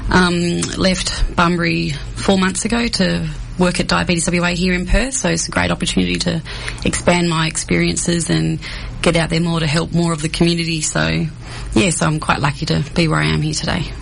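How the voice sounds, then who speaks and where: Gravelly flat voice, upbeat chatty health worker, radio guest. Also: medium pitch, normal speed, slight background noise, poor audio. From southwest Western Australia. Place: radio studio.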